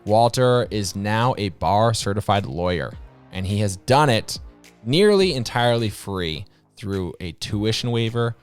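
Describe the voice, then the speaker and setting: A man's voice: lowish voice, animated, measured pace, clear, resonant tone, faint music behind. A man hosting a podcast, interviewing in an informal, conversational way with colloquial phrasing. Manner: informal, says dude and like. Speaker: podcast host. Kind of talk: casual interview.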